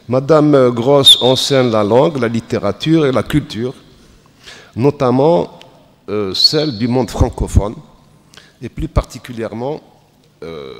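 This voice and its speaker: A man formally introducing a guest speaker at a festival, measured with occasional fillers. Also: mid-range voice. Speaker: festival host, moderator. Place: lecture hall. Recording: long reverb of a hall.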